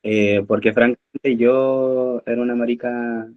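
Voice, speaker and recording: A man speaking slowly and haltingly on a discussion panel. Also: medium pitch, fairly even intonation, phone-quality sound.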